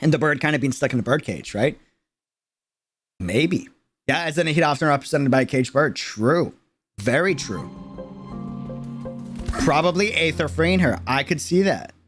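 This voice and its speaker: A man's voice: on the high side, lively intonation, normal speed, long pauses, fairly bright tone, soft background music. A man giving casual, emphatic livestream commentary, chatting to viewers in slang and repeating words for stress. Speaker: streamer reacting to a game video.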